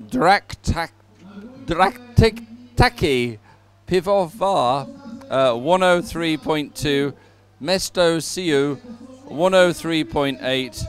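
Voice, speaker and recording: A man commentating live at a sporting event and reading out results in a hesitant, halting way. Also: a little high.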